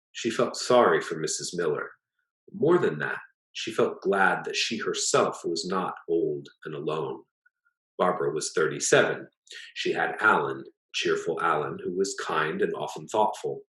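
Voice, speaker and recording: A man reading a literary short story aloud as its author, in a measured, plain storytelling delivery. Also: low voice, fairly bright tone. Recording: echoey, roomy sound.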